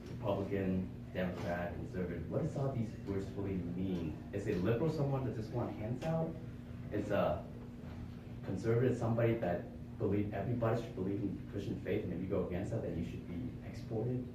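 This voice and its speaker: A man's voice: lowish voice, a little fast, recorded from a distance, noisy background. Man giving a talk in an echoey room. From born in Vietnam, raised in Florida. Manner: earnest, explanatory, with rhetorical questions. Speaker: club speaker. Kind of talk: prepared public speech. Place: meeting room with small audience.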